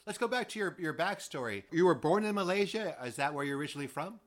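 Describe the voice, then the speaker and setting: A man's voice: a little high, lively intonation, fast, fairly bright tone. A man interviewing a guest in a conversational way, asking curious, probing questions with many hedging phrases.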